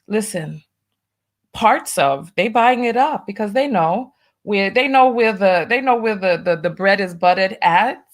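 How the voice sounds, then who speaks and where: A woman's voice: mid-range voice, lively intonation, a little slow. Chatty New York woman livestream host. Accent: New York, partly masked. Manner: chatty, wry asides, doing her makeup while talking. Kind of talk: casual livestream chat, reading viewer donations.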